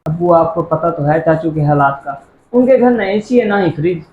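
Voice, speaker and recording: Young man playing principled son, earnest, high voice, roomy muffled recording, amateur drama. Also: expressive, lightly acted. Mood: concerned, reproachful. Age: young adult. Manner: earnest, reasoning. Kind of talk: amateur moral drama acting in Urdu. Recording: echoey room, dull, faint noise.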